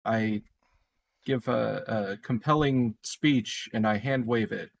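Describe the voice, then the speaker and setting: A man's voice: lowish voice, slow pace, creaky, slight background noise. A man playing a tabletop game, improvising casually with joking, mock-boastful, stammering talk.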